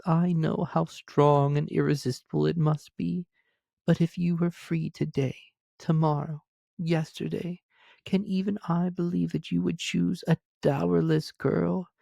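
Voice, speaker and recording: A man voicing a gentle, sorrowful young woman in a storybook, speaking softly and earnestly in measured phrases. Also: sits high, vocal fry, no room sound, voice pushed up, heavily acted. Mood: earnest, heartbroken.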